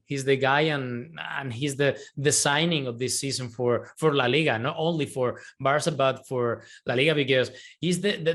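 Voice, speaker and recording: Halting, passionate man football pundit. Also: medium pitch. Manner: hesitant, many restarts, animated. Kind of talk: casual football analysis.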